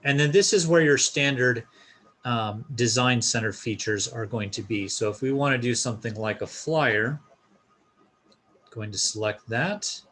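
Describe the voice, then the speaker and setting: Man giving a casual software walkthrough. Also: mid-range voice, unhurried. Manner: informal, explanatory, step-by-step. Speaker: software tutorial presenter. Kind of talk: casual instructional screen-share walkthrough.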